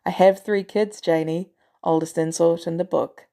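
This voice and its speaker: A woman's voice: on the low side, steady tone, moderate pace, gravelly, warm tone. A woman voicing a weary, wry, guarded retired male agent in an audiobook story, with a hesitant, sardonic way of talking. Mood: teasing, wry.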